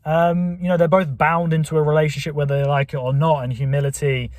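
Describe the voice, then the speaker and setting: Southern english guy rambling to camera in his car, lots of you knows, kinda high voice. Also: little pitch movement, fast, dry recording, clean studio sound. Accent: southern English, Buckinghamshire. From England. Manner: meandering, many fillers and restarts. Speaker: channel host. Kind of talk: rambling casual monologue to camera. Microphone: camera mic.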